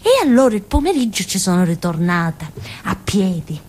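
A woman's voice: medium pitch, big pitch swings, normal speed, a little mellow. An actress playing a naive, stubborn village simpleton girl in a theatrical monologue, with a Calabrian southern Italian accent and a childlike, colloquial, rambling way of talking. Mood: earnest, determined. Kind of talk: theatrical monologue, radio drama storytelling.

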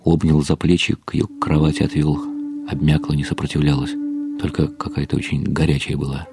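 An actor playing a weary, bitter older husband, narrating a radio drama in a brooding, ironic way with drops into whispers. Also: male voice, very deep voice, little pitch movement, brisk, gravelly. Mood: wry resignation. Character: weary, bitter husband of an alcoholic, wryly despairing. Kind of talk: dramatized first-person literary narration for radio. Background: faint music.

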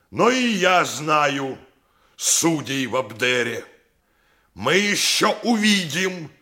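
An actor playing a self-righteous high priest in an audio drama, declaiming with emphatic rhetoric. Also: male voice, pushed and bright, exaggerated voice. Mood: defiant. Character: self-righteous male high priest, fervent orator and rival. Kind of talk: theatrical audio drama acting.

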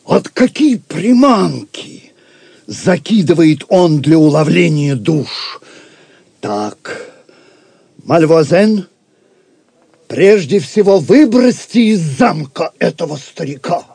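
Stern grand master, audio play: mellow dark timbre, measured slow speech, imperious and severe. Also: male voice, fairly high pitch, very animated, gravelly, slightly rough, no echo, heavily acted. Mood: righteous, severe. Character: Beaumanoir, stern austere grand master of Templars. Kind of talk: Russian audio drama acting.

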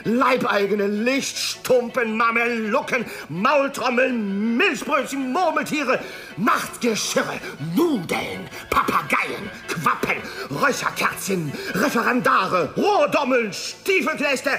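An indignant orator declaims scornfully in a bright, forceful voice with lively pitch. The radio-play tirade is slow and emphatic, each insult set apart. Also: soft background music, full character voice. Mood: scornful, escalating. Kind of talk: theatrical literary recitation for radio.

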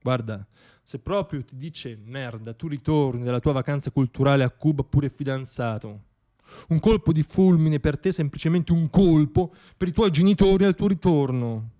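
A man performing a comic theatrical monologue for radio, sardonic and self-mocking, with emphatic pauses and repeated words for effect. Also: a little high, warm tone. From Italy. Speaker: monologist performing own piece.

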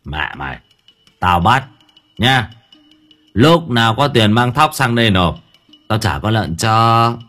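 A man's voice: fairly low pitch, lively intonation, slow, long pauses, bright, forceful tone, soft background music, heavily acted. A man voicing a cruel, arrogant young landlord's son who growls mocking commands. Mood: commanding. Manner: growling, sneering, harsh commands.

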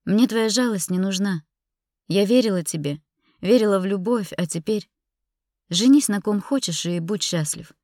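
A woman's voice: mid-range voice, animated, measured pace, a little mellow, no room sound, studio quality. A woman narrator playing a hurt, bitter young woman confronting her husband in an audiobook drama, emotional and indignant.

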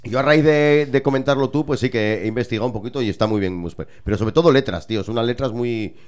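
A man with an ordinary mid-range voice co-hosts a radio music program. He talks in a casual, animated way and repeats words to stress his point. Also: mid-range voice, quick pace, clean tone. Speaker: radio show co-host. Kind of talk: casual radio chat about metal bands.

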